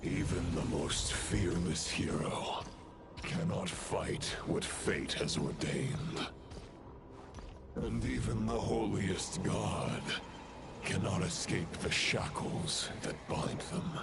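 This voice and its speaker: Gravelly ominous Abyss tempter. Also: male voice, heavy background noise, exaggerated voice. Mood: menacing. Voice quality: gravelly, low and slow. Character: ominous male tempter of the Abyss, cold and solemn. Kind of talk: video game cutscene acting. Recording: game audio over loud score.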